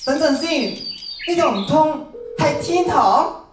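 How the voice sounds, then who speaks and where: A distant, echoing young demon , acted by a teenage girl in a radio drama. Timid and soft-hearted, it speaks in a fairly high voice with earnest, plaintive self-doubt. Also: animated, slow pace, slight background noise. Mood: confused. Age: teens. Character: timid, gentle-hearted young demon who hates injustice. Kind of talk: stage radio drama acting. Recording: echoey hall, off-mic.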